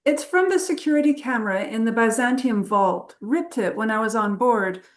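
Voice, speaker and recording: A woman reading the part of a cool, confident female adventurer at a script table read, brisk and matter-of-fact. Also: fairly high pitch, natural voice. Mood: businesslike.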